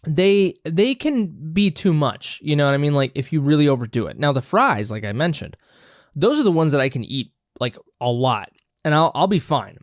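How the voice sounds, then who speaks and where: A man's voice: a little high, expressive, moderate pace, dry recording, clean studio sound. Congested man rambling over snacks. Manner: rambling, hesitant, many restarts and fillers. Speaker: podcast host. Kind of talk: casual solo chat while snacking. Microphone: own camera and mic, close and dry.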